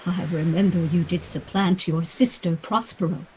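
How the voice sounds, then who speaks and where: An actress playing an ambitious royal sister tempted into murderous conspiracy, in a theatrical, deliberate Shakespearean radio play style. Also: female voice, on the low side, steady tone, darkish tone, slightly rough, low-quality recording. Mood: wary, intrigued.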